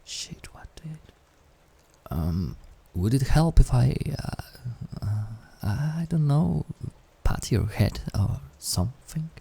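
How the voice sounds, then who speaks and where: Casting: male actor as intimate incubus demon for roleplay audio, dark mellow tone, gravelly and breathy. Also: mid-range voice, big pitch swings, slow, exaggerated voice. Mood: flustered, apologetic. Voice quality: husky, breathy, often dropping to whisper. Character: incubus sleep paralysis demon, menacing turned awkwardly tender.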